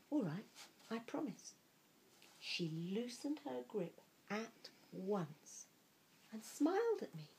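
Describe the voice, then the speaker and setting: Slow, dramatic woman storyteller. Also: medium pitch. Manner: dramatic, deliberate delivery with hushed, breathy phrase endings. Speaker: storyteller reading aloud. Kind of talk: expressive picture-book narration.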